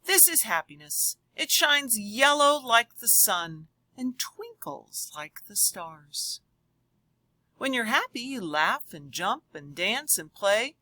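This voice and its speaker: A woman's voice: on the high side, sing-song, slow, pushed and bright, no echo, rough recording. A woman teacher reading aloud and explaining to children, speaking expressively and addressing them directly. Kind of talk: children's read-aloud and lesson.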